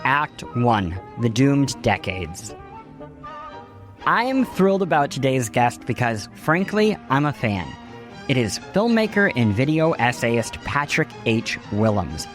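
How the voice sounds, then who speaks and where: A man's voice: mid-range voice, big pitch swings, slow, faint music behind. A man hosting a podcast, reading scripted narration in an articulate, emphatic way. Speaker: podcast host.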